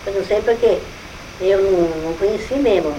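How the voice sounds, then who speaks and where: An older woman with a Brazilian accent, telling family stories in a lively way that is full of exclamations and repetition. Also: mid-range voice, a little fast, slight background noise, rough recording. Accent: Brazilian Portuguese. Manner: lively storytelling, exclaims and repeats herself. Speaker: family elder recalling relatives. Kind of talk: casual family conversation.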